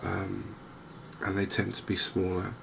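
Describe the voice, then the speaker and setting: Soft spoken asmr gamer guy, gravelly and pretty monotone, thinking out loud, ambience going behind him. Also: sits low, measured pace. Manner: hushed, soft-spoken, hesitant thinking aloud. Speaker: gaming video host. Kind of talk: softly spoken ASMR game commentary. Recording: noticeable background ambience noise. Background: game ambience.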